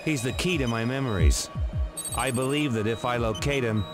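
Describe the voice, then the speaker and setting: A man's voice: mid-range voice, normal speed, close to an everyday voice. A man playing a guarded fugitive agent in a video game, speaking in terse, wary phrases. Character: guarded male fugitive agent searching for his memories. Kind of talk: video game cutscene acting. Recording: music behind the dialogue.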